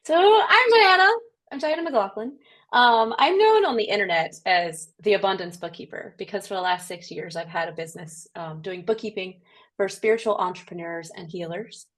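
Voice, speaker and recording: A woman's voice: medium pitch, moderate pace, a bit bright. Chatty woman on a live call. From Ireland. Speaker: singer and co-host. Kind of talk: casual live-stream chat.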